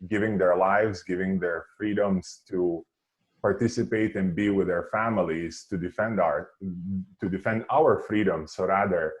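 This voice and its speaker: Guy with a serbian accent, really low voice, giving an earnest off the cuff speech. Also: steady tone, moderate pace, low-quality recording. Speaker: club member giving an impromptu speech. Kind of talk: impromptu public speaking.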